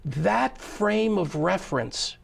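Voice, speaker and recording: A talk-show host with a strongly gravelly, fry-heavy voice pitched a bit high for a man. He explains at length in casual studio chat and often stumbles and restarts his words. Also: animated, slow. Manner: stammering restarts, repeats words, talkative explainer.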